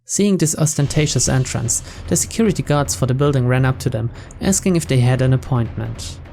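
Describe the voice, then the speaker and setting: A woman's voice: extremely low pitch, a little fast, gravelly, slight background noise. A woman reading visual novel text aloud as dramatic narration for a gaming channel. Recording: loud game music behind voice.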